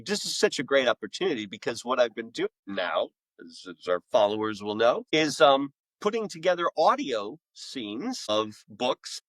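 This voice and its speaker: A man with a mid-range voice chats as a guest author on a podcast. He speaks informally and haltingly, wandering from one thought to the next. The sound is dry, with very little room in it. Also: mid-range voice, fairly bright tone, no room sound, low-quality recording. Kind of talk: casual conversational interview.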